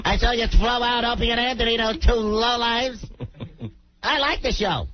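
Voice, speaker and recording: A man's voice: very high voice, normal speed, pitched above the performer's own voice. A man putting on a leering, sarcastically grateful character voice for a radio bit. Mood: mock grateful. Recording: dull lo-fi radio tape.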